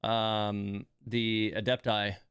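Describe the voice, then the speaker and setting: A man's voice: on the low side, flat delivery, extremely slow, fairly bright tone, smooth, no room sound, clean studio sound. A man giving casual, chatty game commentary as a streamer, talking to viewers and repeating words for emphasis.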